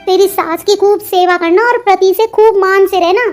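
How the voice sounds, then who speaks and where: A very high, almost childlike voice from a woman plays a stern middle-aged mother in a cartoon family drama. She nags, orders and threatens, bossy and melodramatic in her delivery. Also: fairly even intonation, normal speed, soft background music. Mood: stern, warning. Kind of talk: melodramatic Hindi cartoon dialogue.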